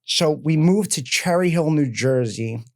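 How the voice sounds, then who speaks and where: Energetic Philly man telling his story. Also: on the high side, measured pace. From Philadelphia. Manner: energetic, rambling, filler-heavy. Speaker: guest. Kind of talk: casual interview talk. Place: podcast studio.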